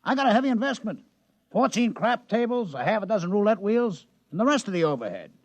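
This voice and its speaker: An actor playing a fast-talking, wisecracking male casino boss in a 1950s radio drama. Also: sits high, big pitch swings, no echo, highs cut off, full character voice. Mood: brash. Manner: fast-talking, wisecracking, tough-guy slang. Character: brash male casino boss and town councilman.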